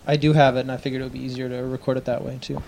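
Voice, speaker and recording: A man with a cold and a raspy voice, co-hosting a casual podcast, explaining things patiently with wry teasing. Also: medium pitch, little pitch movement, racing, darkish tone. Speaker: podcast co-host running the draft. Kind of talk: casual banter.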